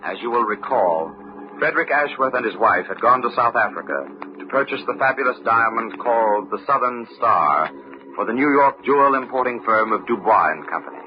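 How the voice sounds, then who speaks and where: A man's voice: mid-range voice, expressive, brisk, muffled recording, a little hiss or hum. A man giving scripted dramatic narration as the storyteller and announcer of an old-time radio mystery. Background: music.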